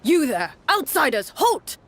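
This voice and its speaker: A woman's voice: sits high, very animated, unhurried, very noisy, voice pushed up, heavily acted. Woman putting on a stern, commanding guard captain. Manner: commanding, threatening. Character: stern suspicious wounded male captain of the guard.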